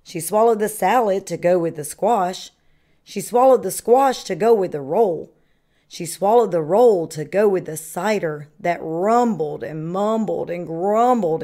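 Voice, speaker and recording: A woman reading a children's picture book aloud in an expressive sing-song way, like a kindergarten teacher. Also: fairly low pitch.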